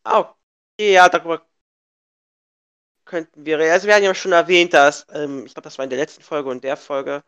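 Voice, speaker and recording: A man's voice: fairly high pitch, fairly even intonation, fairly quick, pauses often, fairly bright tone, smooth, muffled recording. A young man co-hosting a casual gaming podcast, a bit sick and congested, rambling with many restarts and fillers. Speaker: podcast co-host. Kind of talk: casual chat.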